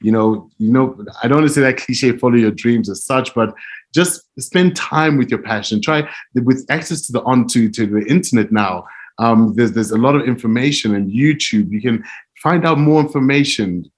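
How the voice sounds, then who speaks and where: A man from Zimbabwe, answering interview questions in an earnest, reflective way with frequent soft laughs. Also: mid-range voice, normal speed. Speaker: interview guest, music publishing director.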